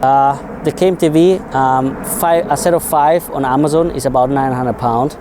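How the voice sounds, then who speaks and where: A man's voice: medium pitch, moderate pace, noisy background. A man giving a casual, informal technical explanation as a co-reviewer of film gear.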